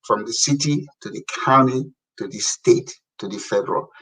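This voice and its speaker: A middle-aged man from Africa, a guest telling lively stories about public service in a casual talk, laughing often. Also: medium pitch, normal speed, breathy edge, far from the mic, poor audio. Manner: animated storyteller, laughs often. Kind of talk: casual radio conversation.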